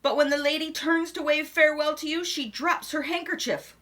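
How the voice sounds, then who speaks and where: A woman reading a children's picture book aloud expressively, with dramatic pauses and hushed asides. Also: high voice, steady tone.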